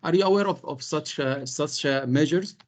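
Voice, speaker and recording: A man putting a question in an academic discussion as a practitioner, with halting speech and repeated words. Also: on the high side. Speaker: audience questioner, translation practitioner.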